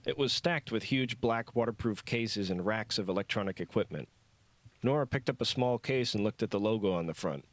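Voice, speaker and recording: A man narrates a novel in a lowish voice. The reading is scripted storytelling, captured very dry with no room sound. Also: dry recording. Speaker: audiobook narrator.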